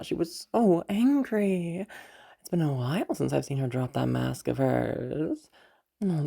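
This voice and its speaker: A woman acts out a sly villainous woman in an intimate audio roleplay, her voice low and gravelly. She teases slowly, with hesitant pauses and soft hums, sounding dangerous yet tender underneath. The tone stays dark and mellow. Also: full character voice. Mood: amused, scornful. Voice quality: low, husky, creaky. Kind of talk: romantic audio roleplay, intimate monologue to a listener.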